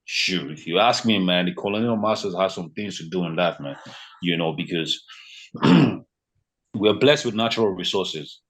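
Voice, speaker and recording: A man's voice: low voice, normal speed. A man from Nigeria whose first language is Yoruba, talking casually as a podcast guest and filling his speech with "you know" and "man". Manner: relaxed, lots of fillers like "you know" and "man". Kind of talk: casual conversation.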